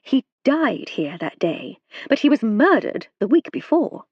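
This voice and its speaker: A woman voicing a brisk, clever aristocratic lady detective with a refined, theatrical manner. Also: on the high side, sing-song, husky, no echo, lo-fi sound, voice pushed up, exaggerated voice. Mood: urgent.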